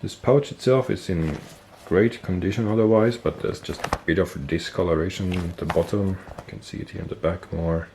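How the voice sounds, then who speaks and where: A man with a stuffy nose, casually explaining military gear on camera, relaxed and thinking aloud with fillers and self-corrections. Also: sits low, normal speed, hardly any pauses, noisy background. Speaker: military gear collector and video host. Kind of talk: casual show-and-tell explanation.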